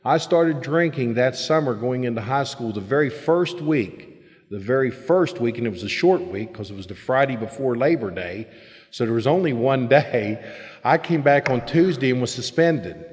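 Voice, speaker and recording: A middle-aged man shares his own story at a recovery meeting, plain-spoken and candid, now and then pressing a point with force. His voice sits at an ordinary pitch, and it carries the long reverb of a big meeting hall. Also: animated, normal speed, a little mellow. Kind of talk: personal recovery talk to a meeting.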